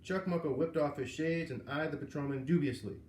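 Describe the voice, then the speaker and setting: A man's voice: fairly high pitch, fairly quick, darkish tone. A man narrating a children's novel aloud. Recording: roomy, echoing, noisy home recording.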